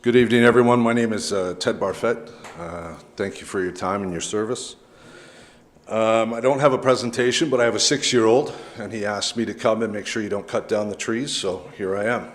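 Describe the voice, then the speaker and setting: Lower-voiced man, casual and friendly resident commenter. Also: steady tone, a little fast. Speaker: resident speaking from the public. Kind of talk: informal public comment. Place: council chamber.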